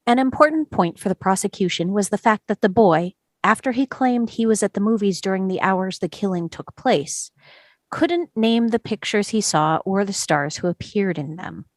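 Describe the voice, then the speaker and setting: Woman reading calm persistent juror in a play, mid pitch, polite probing questions, very dry recording. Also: dry recording, close to an everyday voice. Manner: measured, questioning, courteous. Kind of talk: amateur table read of a stage drama.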